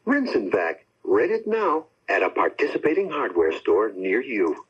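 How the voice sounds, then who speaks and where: A man announcing slogan-driven radio commercials in a husky voice. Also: on the low side, big pitch swings, normal speed. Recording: dull old radio recording.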